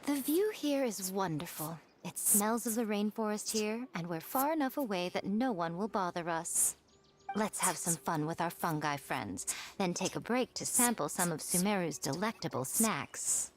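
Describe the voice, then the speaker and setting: A woman's voice: mid-range voice, lively intonation, normal speed, noisy background, natural voice. An actress playing a poised, worldly and playfully teasing woman with genteel phrasing in an anime fantasy game. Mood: playful, encouraging.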